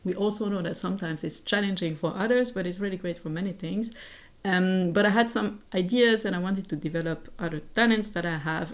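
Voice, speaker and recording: A woman from Switzerland in her forties, a guest academic answering interview questions in earnest, thinking aloud with many fillers and restarts. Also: lowish voice. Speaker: guest, assistant professor and founder.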